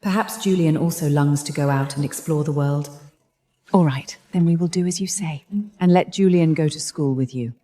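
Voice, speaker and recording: A caring middle-aged mother in a dubbed drama, gentle and earnest. Her voice sits low and mellow. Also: moderate pace. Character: worried, kindly mother of a wealthy household.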